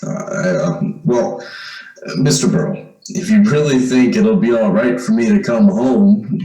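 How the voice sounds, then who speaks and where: A man's voice: fairly low pitch, unhurried, dark tone, lightly acted. A man acting a wary, streetwise seventeen-year-old diner counterman in an old-time radio drama, with folksy, slangy talk. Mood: guarded, agreeable. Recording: roomy echo, music underneath.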